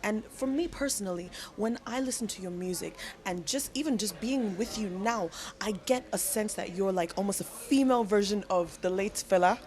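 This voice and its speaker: A woman's voice: medium pitch, moderate pace, few pauses, a little mellow. A woman conducting a conversational interview, speaking in an encouraging, complimentary way to her guest.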